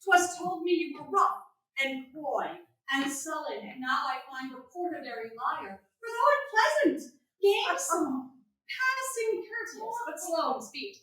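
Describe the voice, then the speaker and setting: Woman as cocky shakespeare suitor, bright high voice, declaiming big, really echoey room. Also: very animated, unhurried, full character voice. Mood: teasing, wooing. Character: brash, bold male suitor bent on taming his bride. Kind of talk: staged reading of Shakespearean comedy. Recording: very echoey room, far from mic.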